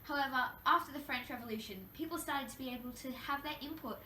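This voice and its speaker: A woman's voice: high voice, fast, sharp tone. A woman playing a wronged young French queen in a scripted interview role play, recounting her troubles in a formal, recited way. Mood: sombre. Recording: echoey room, distant mic, faint noise.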